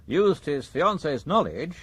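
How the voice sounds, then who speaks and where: An actor playing a terse, authoritative British chief inspector in a radio crime drama, probing with clipped questions. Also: male voice, on the high side, very animated, slow pace, husky, full character voice. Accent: British English.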